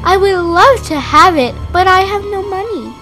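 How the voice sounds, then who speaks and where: A woman voicing a sweet, naive young maiden princess, gentle and wide-eyed in a children's storybook tale. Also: extremely high pitch, animated, normal speed, faint music behind, exaggerated voice. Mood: delighted, naive. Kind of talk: children's storybook play with dolls.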